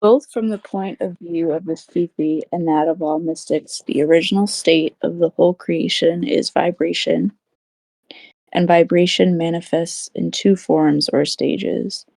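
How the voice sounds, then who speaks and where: A reader delivers a spiritual text aloud. The voice sits at a middle pitch with a gravelly creak. The sound is dry, with little room in it. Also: steady tone, unhurried, darkish tone, no room sound, rough recording. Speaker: participant reading in a book club.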